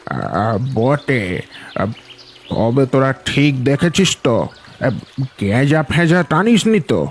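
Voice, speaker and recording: A man playing a village headman in an animated ghost story, questioning and cautious, speaking hesitantly and repeating words. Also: medium pitch, slow pace, long pauses. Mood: doubtful.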